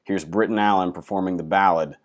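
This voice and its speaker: A man hosting and telling a folk tale in an unhurried, dramatic storytelling manner. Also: low voice, fairly even intonation, no echo. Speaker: host and storyteller.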